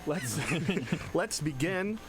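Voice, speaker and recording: A man's voice: a little high, animated, very slow. A man hosting a radio show in a casual, joking and self-deprecating way, speaking into a studio microphone. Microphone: studio microphone with headphones.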